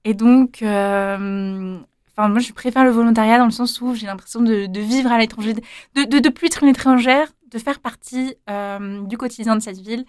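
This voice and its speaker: A woman's voice: on the high side, steady tone, normal speed, no echo, clean studio sound. A young woman answering interview questions casually, hesitant, with many fillers and restarts. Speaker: interviewee, former volunteer.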